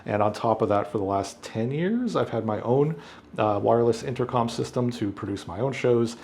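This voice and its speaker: A man's voice: mid-range voice, animated, moderate pace, a little mellow, a little hiss or hum. A middle-aged man hosting an unboxing, talking casually and unscripted, thinking aloud as he goes. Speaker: unboxing video host, AV professional.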